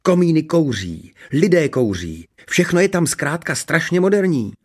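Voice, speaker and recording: A man voicing a gushing, spiteful young princess in a fairy tale, enthusiastic and quick to snap. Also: mid-range voice, expressive, pitched above the performer's own voice. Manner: enthusiastic, snappish, tattling.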